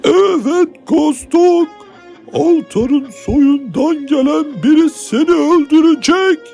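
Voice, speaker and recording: A man playing an ominous old soothsayer in an animated adventure, speaking in a slow, prophetic manner. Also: extremely high pitch, vocal fry, heavily acted. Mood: ominous, defiant. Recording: music behind the voice.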